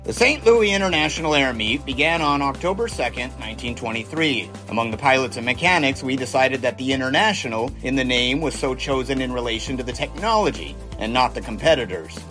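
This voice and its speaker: An actor playing a confident, folksy 1920s American test pilot in an audio drama, jaunty and boastful, with old-fashioned wordy phrasing. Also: male voice, mid-range voice, lively intonation, a little fast, hardly any pauses, bright, forceful tone, faint music behind. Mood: confident, reminiscing. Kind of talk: audio drama dialogue and first-person storytelling.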